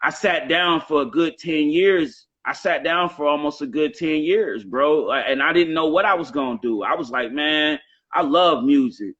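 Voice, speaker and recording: A man's voice: on the high side, normal speed, fairly bright tone, muffled recording. A man in his late thirties with a Southern US accent, talking casually and emphatically on a live stream. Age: 30s. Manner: emphatic, repetitive, heavy swearing. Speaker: rapper on a live show. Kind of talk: casual chat.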